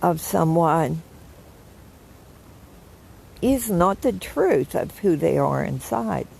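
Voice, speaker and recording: An older woman with a hoarse, froggy morning voice, chatting gently and affectionately with live viewers from the woods. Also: deep voice, big pitch swings, takes it slowly, slight background noise. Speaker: vlogger greeting live viewers. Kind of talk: casual live chat. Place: outdoors in the woods.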